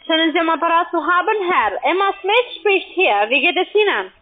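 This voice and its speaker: Casting: female phone agent, high voice, polite but insistent, slightly dull call audio. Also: animated, moderate pace. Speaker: telephone sales caller. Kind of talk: formal phone greeting.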